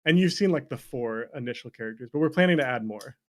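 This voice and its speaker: A man's voice: mid-range voice, animated, quick pace, darkish tone. A man talking casually as an interview guest about his work as a game developer, rambling and joking at his own expense. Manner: rambling, self-deprecating, jokey. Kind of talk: casual chat.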